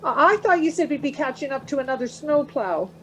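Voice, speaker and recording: An actress plays a middle-aged mother with a high, lively-pitched voice. She is haunted and wry, with an anxious edge, in radio drama style. Faint car ambience sits behind her. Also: fairly quick, slight background noise, rough recording. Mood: shaken, anxious. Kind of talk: radio play acting.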